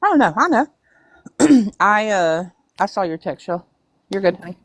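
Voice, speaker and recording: A woman's voice: mid-range voice, big pitch swings, normal speed, fairly bright tone, slight background noise. Chatty woman hosting a livestream. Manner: chatty, talks to viewers as she goes. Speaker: livestream host. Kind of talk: casual livestream chat.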